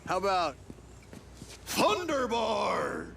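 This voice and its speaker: A man's voice: high-pitched, big pitch swings, extremely slow, creaky, pushed and bright, a little hiss or hum, exaggerated voice. An actor playing a genial, folksy older agency man in a video game, drawling and amused. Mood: jovial. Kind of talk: video game cutscene acting.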